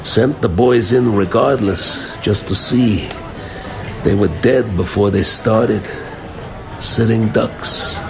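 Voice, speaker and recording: Casting: knowing underworld barman, gravelly and unhurried, sardonic, radio drama. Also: lowish voice. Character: sardonic, world-weary male underworld barman informant.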